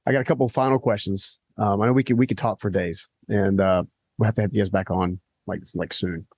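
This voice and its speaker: A man's voice: fairly low pitch, steady tone, fairly quick, a little mellow, no echo. A man hosting a studio interview podcast, earnest and conversational, stringing his thoughts into long lists with many fillers. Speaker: podcast host. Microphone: studio microphone.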